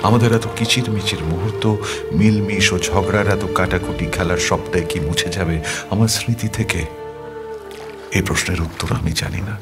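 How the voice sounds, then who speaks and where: A man performing an audio story as a restless young man writing a love letter, reflective and questioning, with music behind him. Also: deep voice. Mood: anxious, wistful. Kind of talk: dramatic audio story narration.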